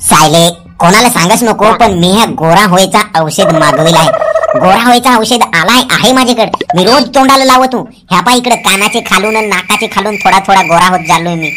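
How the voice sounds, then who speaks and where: A man's voice: sits high, fairly quick, background noise, natural voice. A man voicing a boastful, lovesick young village man in a comic cartoon, with an insistent, wheedling way of talking. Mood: pleading. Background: loud music.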